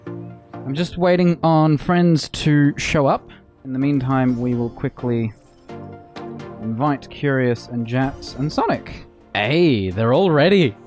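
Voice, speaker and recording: A man's voice: mid-range voice, takes it slowly, a little mellow. A man who streams games, chatting casually and greeting viewers and friends over background music. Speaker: game streamer hosting a multiplayer session. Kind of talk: casual livestream chat.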